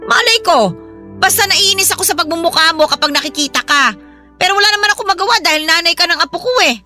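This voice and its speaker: A woman's voice: extremely high pitch, lively intonation, fast talker, sharp tone, soft background music, heavily acted. A woman playing a hot-tempered, suspicious older mother-in-law in a radio drama, scolding bluntly and sarcastically with a Filipino accent. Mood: blunt, annoyed.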